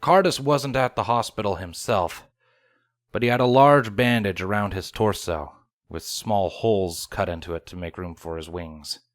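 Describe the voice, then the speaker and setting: A man's voice: fairly low pitch, normal speed, dry recording, studio quality. A man reading a scripted story aloud as its narrator. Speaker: fan fiction reader.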